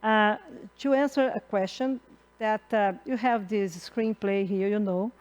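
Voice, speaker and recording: A middle-aged woman from Brazil speaks with a Brazilian accent, moderating a conference panel. Her English is halting, with many fillers and restarts. She talks into a handheld mic in a conference hall. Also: medium pitch, fairly even intonation, darkish tone. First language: Portuguese.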